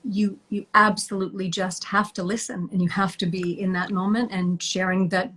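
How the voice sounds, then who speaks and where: A woman stage actress answering interview questions over a video call, warm and thoughtful, quick to laugh. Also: mid-range voice, little pitch movement, low-quality recording.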